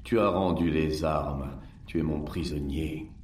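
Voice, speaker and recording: An actor playing a cold, menacing Roman leader in a French audio drama, speaking imperiously as if interrogating. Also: male voice, rumbling low, measured pace, a little mellow, roomy sound, recorded from a distance, a little hiss or hum. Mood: stern.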